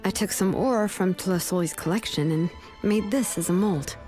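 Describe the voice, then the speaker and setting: A woman voicing a laid-back, caring adult woman smith who speaks in a gentle, reassuring way. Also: medium pitch, normal speed, soft background music, natural voice. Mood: comforting. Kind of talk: video game voice acting.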